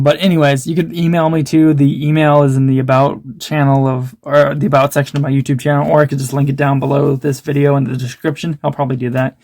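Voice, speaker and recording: A man's voice: fairly high pitch, a little mellow, no echo, studio quality. A young man chatting informally in a rambling show-and-tell vlog, often interrupting himself as he goes. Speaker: antiques dealer and video host.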